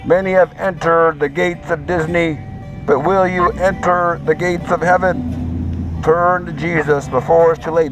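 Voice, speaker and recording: A man preaching outdoors on a city sidewalk at night, exhorting passersby in a steady, repetitive cadence. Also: high voice, rough recording. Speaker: street preacher. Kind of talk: open-air street preaching. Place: city sidewalk at night outside a theme park.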